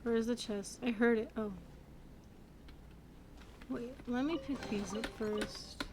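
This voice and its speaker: Soft-spoken young woman with a high voice. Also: slow, a little hiss or hum. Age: 20s. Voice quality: youthful-sounding. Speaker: gaming video creator. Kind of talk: casual gameplay commentary. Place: voice recorded over video game audio. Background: game music.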